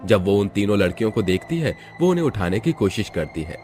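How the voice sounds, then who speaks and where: A man's voice: lowish voice, sing-song, a little fast, dark tone, soft background music. A man reading a scripted moral story as its narrator.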